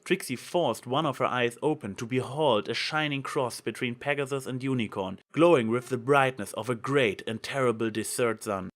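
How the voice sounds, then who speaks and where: A man narrates a piece of fantasy fiction. His voice sits at an average male pitch. He reads in a dramatic audiobook style, as a storyteller. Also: normal speed, few pauses.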